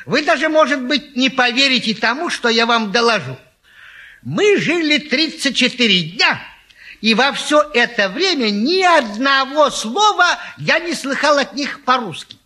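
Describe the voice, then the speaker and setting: A man's voice: extremely high pitch, animated, measured pace, pushed and bright, full character voice. An actor playing a boastful, gallant retired naval lieutenant who tells tall tales in a stage comedy, hemming and savoring as he goes. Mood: boastful, emphatic. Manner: hesitant hemming, savoring storyteller. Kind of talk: classic stage comedy acting.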